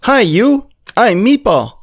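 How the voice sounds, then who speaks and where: An actor voicing an eager, wide-eyed, food-loving dog in an animated visual novel story. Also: mid-range voice, slow, dry recording, clean studio sound, full character voice. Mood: overjoyed. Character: Meatball, excitable, naive, friendly, food-loving male dog narrator.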